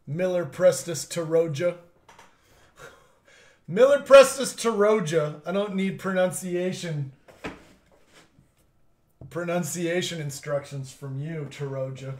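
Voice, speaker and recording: A man's voice: on the high side, a little mellow. A young man hosting a live trading card break, joking with viewers over player names and calling out pulls. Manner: playful, joking with viewers over name pronunciations; drawn-out hype calls of big pulls. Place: indoor studio at a table.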